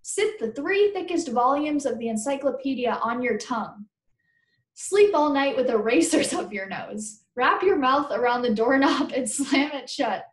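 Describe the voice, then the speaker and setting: Lady doing a sarky american kid boy from a storybook, argues a lot, highish voice, echoey. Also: animated, unhurried, distant mic. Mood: gleeful. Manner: questioning, wry, argumentative. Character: reluctant, sarcastic fourth-grade boy narrator arguing with his pal. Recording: roomy, echoing webcam recording.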